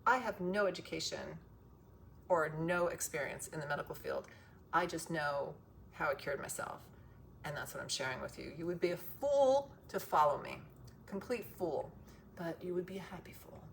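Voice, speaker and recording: A woman giving casual, rambling wellness advice with emphatic swearing and tangents, her voice strained from loud singing. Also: low-pitched, expressive, normal speed, vocal fry, slight background noise. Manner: profane, emphatic, goes off on tangents. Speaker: wellness vlogger sharing a self-cure.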